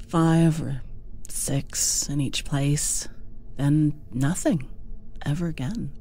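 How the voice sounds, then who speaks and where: A woman narrating a horror audiobook as a frightened young village wife held captive, breathy and hushed, often near a whisper. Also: extremely low pitch, full character voice. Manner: slow, halting, hushed.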